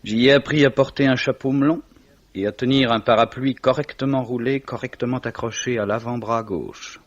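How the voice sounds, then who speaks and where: An actor playing a grieving, self-deprecating young gentleman just out of university, subdued and wry, in 1950s French radio drama. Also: mid-range voice, fairly even intonation, moderate pace, no room sound, lightly acted. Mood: bitter, wry. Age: young adult. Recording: dull old radio recording.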